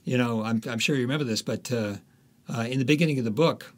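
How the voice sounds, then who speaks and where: A man speaking as an interviewed author, telling anecdotes conversationally and pausing to find his words. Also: medium pitch.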